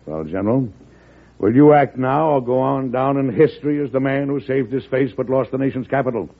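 An actor playing a weary, resolute American president in an old-time radio drama, deliberate and plain-spoken. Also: male voice, mid-range voice, gravelly. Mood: exasperated, firm. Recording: muffled, tinny old radio broadcast recording.

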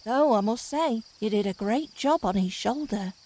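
A woman playing a sharp-tongued, suspicious elf adventurer in a fantasy comedy audio drama, blunt and sardonic. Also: a little high, expressive, moderate pace. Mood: hushed, impatient.

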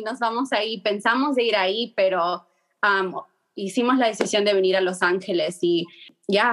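A young woman, answering interview questions in a halting way many fillers and restarts, slipping words in. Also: mid-range voice, fairly bright tone. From Peru. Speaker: guest, journalist.

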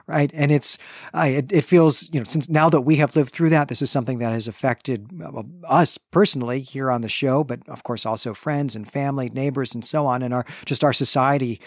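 A man at an ordinary pitch talks through ideas earnestly in a casual podcast chat, often tagging his points with a questioning "right?". The recording is dry and close, with no room echo. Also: fairly even intonation, brisk. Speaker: podcast co-host. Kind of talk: casual literary discussion.

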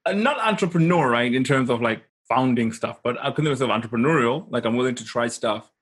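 A man speaks as an interview guest at a middling pitch. He tells personal stories informally and emphatically, often checking that the listener is following. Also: animated, fast, fairly bright tone, husky. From Zimbabwe. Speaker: interview guest, consultant and coach. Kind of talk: animated personal storytelling in casual conversation.

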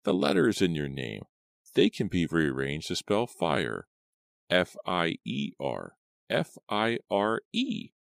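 Man voicing a raspy, menacing old crone. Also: huge pitch swings, no echo, studio quality, heavily acted. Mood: ominous. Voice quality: deep, raspy, gravelly, croaking. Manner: slow, commanding, ominous pronouncements. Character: menacing, stooped old crone fortune-teller in woods.

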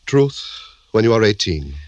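A man's voice: on the low side, very animated, moderate pace, no room sound, muffled recording. A man playing a meek, lovelorn young Russian suitor in an old-time radio drama, earnest and plaintive with stilted formal phrasing. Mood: resigned, tender.

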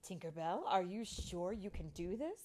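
A woman voicing a gracious, stately female fairy elder in a storybook, measured and slightly formal. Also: on the low side, lively intonation, a little mellow. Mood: questioning.

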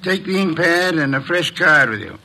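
Formal unflappable detective boss, sharp forceful voice a bit high, old radio drama style. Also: male voice, animated, moderate pace, full character voice. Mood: grave. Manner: formal, precise, pedantic wording. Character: commanding, methodical male detective, formal and unflappable. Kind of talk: classic radio drama acting.

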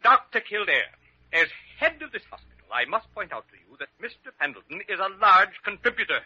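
An actor playing a pompous, wheedling head of a hospital in old radio drama, hedging unctuously. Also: male voice, high-pitched, big pitch swings, a little fast, pushed and bright, breathy edge, dry recording, heavily acted. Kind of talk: 1940s-50s radio drama acting. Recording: muffled old radio broadcast recording.

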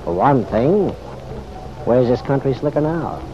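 An actor playing a folksy, slyly probing police officer in an old radio drama, with wry, slangy, unhurried questioning. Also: male voice, medium pitch, expressive. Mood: sly, skeptical. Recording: dull, noisy old radio recording.

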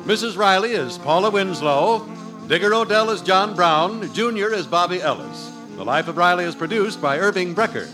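A man's voice: high-pitched, animated, moderate pace, low-quality recording. A man with an American accent announcing and selling on 1940s radio in a hearty, emphatic style. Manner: hearty, emphatic sales delivery. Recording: vintage radio broadcast recording. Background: music.

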